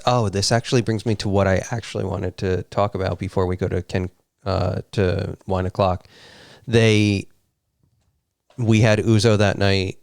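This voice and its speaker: A man's voice: low-pitched, vocal fry, clear, resonant tone, dry recording, clean studio sound. A man co-hosting a casual live stream, telling rambling stories. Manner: rambling storyteller. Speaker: co-host.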